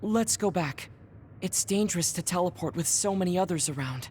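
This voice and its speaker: An actor playing a serious, formal young man in a game cutscene, speaking in a measured, polite way. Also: high voice, a little mellow, slight background noise, lightly acted. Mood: composed. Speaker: game character. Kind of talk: dubbed game cutscene dialogue. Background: game music.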